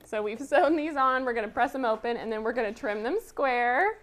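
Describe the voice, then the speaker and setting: A woman's voice: on the high side, lively intonation, brisk, a bit bright, a little hiss or hum. A woman hosting a live quilting demonstration, chatty and giggly, explaining each step as she sews. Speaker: quilting tutorial host.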